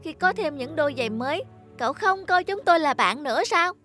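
A woman's voice: high voice, lively intonation, fairly quick, fairly bright tone, faint music behind. A woman voicing a hurt, reproachful talking children's shoe in a Vietnamese cartoon, plaintive and emphatic.

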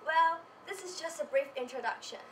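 A high-voiced teenage young woman gives a rehearsed student presentation with emphatic delivery. She sounds distant, in an echoey room with faint noise. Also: lively intonation, brisk, fairly bright tone. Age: teens. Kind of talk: scripted stage presentation. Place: small presentation stage indoors. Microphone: far camera.

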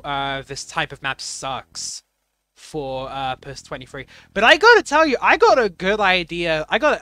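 A man's voice: fairly high pitch, bright, forceful tone, dry recording. A young man casually commenting on his own game levels as he plays, rambling and self-deprecating, with lots of fillers and restarts.

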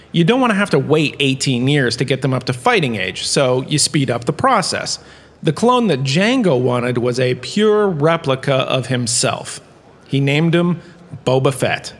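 A man's voice: fairly high pitch, fairly bright tone. A man delivering a theatrical comedic lecture as host, self-satisfied and wry, with dramatic phrasing and chatty asides. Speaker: host playing a university lecturer. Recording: echoing hall with long reverb.

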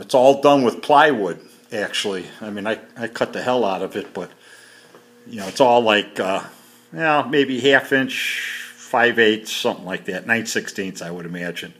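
A man's voice: fairly low pitch, fairly bright tone. A man casually walking through a garage, talking off the cuff with lots of fillers in a matter-of-fact way, filmed on a handheld phone. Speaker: home mechanic reviewing a tool. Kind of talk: casual unscripted video walkthrough. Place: home garage.

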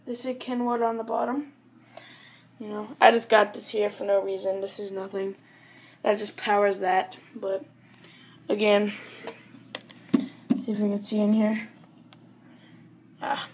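A woman giving a casual, rambling home review in a halting, offhand manner. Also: mid-range voice, level tone. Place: small bedroom at home, indoors. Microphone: handheld camera or phone.

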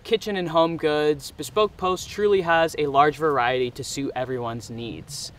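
Young male vlogger, chatty and upbeat, slightly high and clean-toned voice. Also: a bit bright. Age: young adult. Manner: chatty and upbeat, talks to the camera. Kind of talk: casual vlog narration. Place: outdoors in a snowy mountain parking area.

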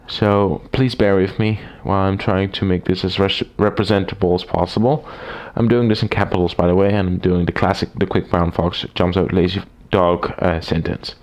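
A man with a raspy voice, recovering from a cold, casually reviewing a typewriter at his desk, rambling with fillers and restarts. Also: sits low, level tone, normal speed, few pauses, a little mellow. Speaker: typewriter collector reviewing a machine. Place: small room at a desk, indoors. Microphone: camera mic, speaker off-camera.

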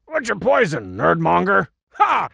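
An actor playing a cocky, boastful, juvenile cartoon villain for a comedy video game, with a raspy growl and mocking, slangy tough-guy delivery. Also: high-pitched, wildly swooping pitch, measured pace, bright, forceful tone, no room sound, heavily acted. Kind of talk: exaggerated comic video game character acting.

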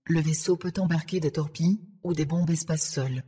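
A synthetic female voice of an AI assistant, giving formal, measured, deadpan scripted information. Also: deep voice, husky. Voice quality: synthetic text-to-speech voice. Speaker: AI ship assistant.

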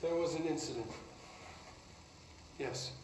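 A man playing a nervous, excitable research scientist in a game, rambling and halting as he justifies himself. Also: on the high side. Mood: defensive, pleading. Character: nervous, excitable male research scientist defending his prized subject. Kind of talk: video game drama acting. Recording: distant, echoey, noisy recording.

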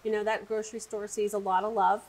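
A woman's voice: mid-range voice, flat delivery, fast, a little mellow, rough recording. A woman, the director of a children's museum, explaining its play areas in an upbeat interview with frequent fillers. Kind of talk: casual interview. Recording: audible background noise.

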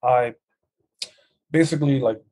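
A man's voice: mid-range voice, unhurried, mellow. A middle-aged man, a musician guest telling his story in a laid-back, easygoing way over a video call. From New Zealand. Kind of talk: casual interview chat.

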